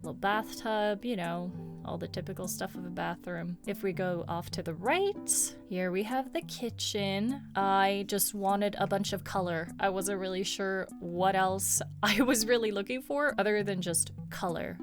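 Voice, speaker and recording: A woman's voice: mid-range voice, unhurried, over music. A woman giving a casual, chatty narrated tour of her video game island, with playful jokes and asides. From Swedish heritage.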